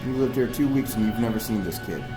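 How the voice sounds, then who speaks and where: A man's voice: fairly low pitch, fast talker, lightly acted. A man playing a brusque, suspicious small-town police chief in an audio drama, pressing with curt, blunt questions. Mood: skeptical. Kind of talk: audio drama acting, interrogating. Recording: echoey room, loud music underneath.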